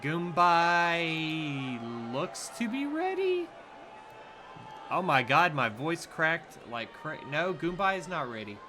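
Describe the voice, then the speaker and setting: A man's voice: fairly high pitch, very animated, takes it slowly, music in the background. A man with a Southern US accent giving laid-back, rambling sumo commentary, laughing easily. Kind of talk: casual live commentary.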